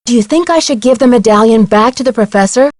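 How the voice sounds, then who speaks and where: A woman's voice: a little high, fast talker, darkish tone, no echo, clean studio sound, close to an everyday voice. A woman voicing an inquisitive young female detective in a video game, speaking earnestly and neatly as she explains things. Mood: puzzled. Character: bright, inquisitive teenage girl amateur detective.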